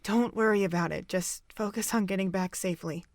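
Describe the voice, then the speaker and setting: A woman's voice: medium pitch, brisk, no room sound, natural voice. A woman playing an anxious, caring and earnest young friend in a fan audio drama. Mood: worried.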